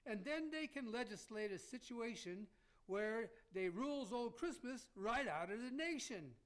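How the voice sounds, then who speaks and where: A man puts on a folksy Southern villain from hell. His drawling voice is strikingly high and animated, scheming in radio-play verse. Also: unhurried. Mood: sly, folksy. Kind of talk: amateur radio theater in rhymed verse.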